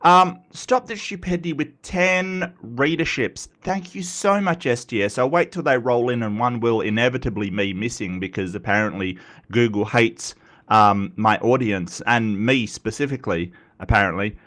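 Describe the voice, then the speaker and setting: A man's voice: mid-range voice, moderate pace, fairly bright tone. An Australian man hosting a live stream, nasal and congested from a cold, rambling and joking with frequent stammered restarts. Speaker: host of a live atheist commentary stream. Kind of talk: casual live-stream chat.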